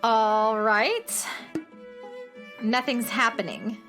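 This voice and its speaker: Woman acting a high-pitched, vain diva girl. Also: extremely slow, a bit bright, faint music behind, heavily acted. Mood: unimpressed. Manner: boastful, dramatic, critical of others' mistakes. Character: vain, bossy female hatchling seeking her grand origins. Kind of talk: children's radio comedy acting.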